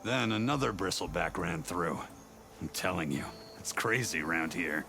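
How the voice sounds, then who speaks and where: An actor playing a talkative rustic local in a video game, speaking in a folksy, informal way. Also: male voice, low-pitched, animated, moderate pace, vocal fry, pushed and bright, slight background noise, exaggerated voice. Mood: impressed, exasperated. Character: rustic male onlooker, talkative, impressed and exasperated. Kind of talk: video game dialogue acting.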